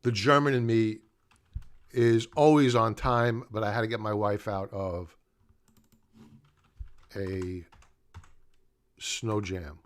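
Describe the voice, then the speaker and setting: A man's voice: fairly low pitch, fairly even intonation, slow, pauses often. A middle-aged man hosting a live market analysis stream, talking off the cuff and explaining in a conversational, stop-and-start way. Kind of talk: unscripted live commentary, chatting to viewers.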